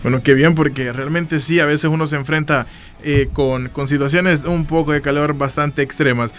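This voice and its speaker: A man with a fairly high voice hosts an informal sports interview. He puts his questions politely and takes a winding route to get to them. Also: steady tone, normal speed, a bit bright.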